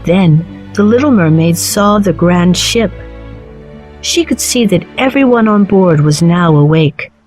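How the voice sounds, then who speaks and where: Slow, clear woman storyteller. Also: fairly low pitch, soft background music. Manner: slow, clear and even reading. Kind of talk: scripted storybook narration for children.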